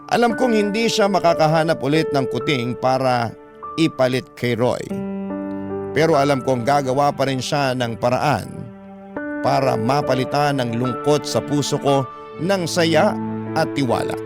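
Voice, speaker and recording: A man with a Filipino accent narrates a scripted, dramatic first-person story in the manner of a radio storyteller. His voice sits at a medium pitch. Music runs clearly behind him.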